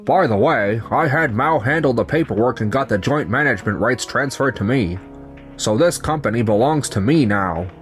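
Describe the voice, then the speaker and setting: A man's voice: mid-range voice, animated, moderate pace, close to an everyday voice. A man voicing young company men in a manga dub, with narration, over background music. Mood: smug, businesslike. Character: betrayed young company founder, calm and resigned, and his coworkers. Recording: music under the voice.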